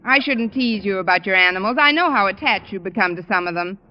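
A woman's voice: mid-range voice, expressive, fast talker, close to an everyday voice. An American woman acting as a wry, wisecracking schoolteacher in an old radio comedy, with dry, teasing, articulate delivery. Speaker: lead actress and narrator of a radio comedy. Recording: muffled old radio recording, studio audience, noise.

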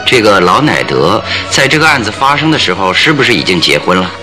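An actor in a radio play voicing a composed, probing male detective who questions deliberately, with thoughtful hums. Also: on the low side, very animated, music in the background.

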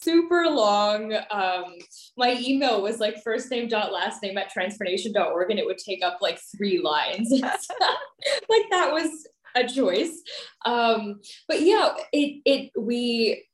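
A woman's voice: fairly high pitch, animated, takes it slowly. A young woman giving casual, chatty interview answers peppered with "like". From United States and Pakistan. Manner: informal, chatty, frequent "like". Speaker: podcast guest, nonprofit founder. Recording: roomy, echoey sound.

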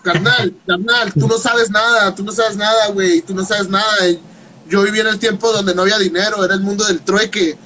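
A man, hosting a casual chat in a fast, slangy, playful way. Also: high-pitched, steady tone, sharp tone, slight background noise, rough recording. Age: 30s. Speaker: host.

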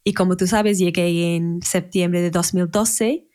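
A woman's voice: on the low side, steady tone, unhurried, darkish tone. English woman speaking learner's Spanish. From England. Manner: searching for words, restarts in a second language. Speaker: guest. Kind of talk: casual conversational interview.

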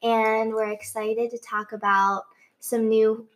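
Upbeat young woman with a higher voice. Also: flat delivery, takes it slowly. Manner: upbeat, uses many fillers like "like". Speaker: podcast co-host. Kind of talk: casual chat.

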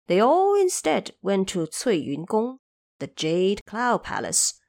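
A woman narrating a scripted audio drama in an expressive, deliberate storytelling manner, with explanatory asides. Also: medium pitch, gravelly.

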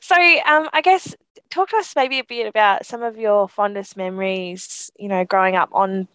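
A woman's voice: medium pitch, normal speed, poor audio. A woman hosting a podcast, reading an introduction and then encouraging her guest with affirming remarks. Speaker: podcast host. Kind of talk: podcast interview, reads intro then chats.

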